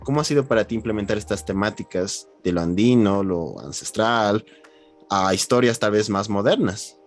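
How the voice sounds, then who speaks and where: A man's voice: on the low side, animated, moderate pace. A man hosting a literary podcast in a conversational interviewing style. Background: background music.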